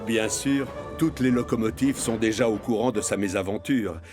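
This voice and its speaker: A man's voice: on the low side, normal speed, over music. A man narrating a scripted children's cartoon story as the storyteller.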